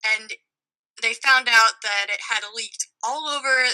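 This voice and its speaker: A young woman's voice, pitched high and strikingly bright and forward. She tells a personal story in casual podcast style, pausing and picking her way through it informally. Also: smooth, low-quality recording. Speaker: podcast guest.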